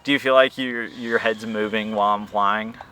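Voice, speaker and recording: An upbeat man hosts a casual, joking interview while flying a drone. His tone is bright and lively. He is outdoors at a park, with faint noise behind him. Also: lowish voice, moderate pace. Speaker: host.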